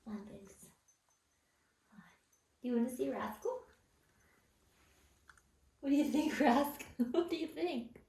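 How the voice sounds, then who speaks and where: A woman at home talking softly to her pets in a hushed, gentle, reassuring way. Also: sits high, lively intonation, a little slow, gravelly, pauses often, distant mic. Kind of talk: soft talk to pets. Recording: echoey room, away from mic.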